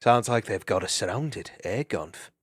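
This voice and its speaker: A man playing a calm, wry mouse warrior. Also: on the low side, measured pace, no room sound, studio quality. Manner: calm, wry, gently teasing. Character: steady brave male mouse warrior.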